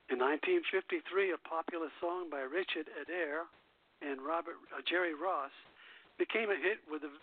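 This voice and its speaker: A thin, phone-like recording of an older man hosting a radio program. His voice sits a little high for a man, and he moves between scripted narration and casual asides. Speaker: radio show host. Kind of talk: reading scripted music history with casual asides. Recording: thin phone-line audio.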